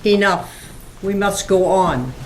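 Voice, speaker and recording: A woman playing a grave, stern Christmas spirit in a radio play, speaking formally and deliberately. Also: medium pitch, slight background noise, lightly acted, low-quality recording. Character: solemn, stern ghostly Christmas spirit, prophetic and reproachful. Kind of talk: holiday radio play acting.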